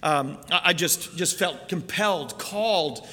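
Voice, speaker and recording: A middle-aged man raised in Canada preaches from a church pulpit, earnest and full of fillers, his voice a touch higher than most men's. A long reverb from the sanctuary hangs behind his words. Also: lively intonation, unhurried, bright, forceful tone. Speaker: missionary preacher. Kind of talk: sermon introduction.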